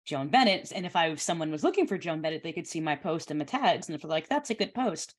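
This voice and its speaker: A woman's voice: low-pitched, lively intonation, quick pace. A woman chatting informally as a guest on a podcast. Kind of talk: casual chat.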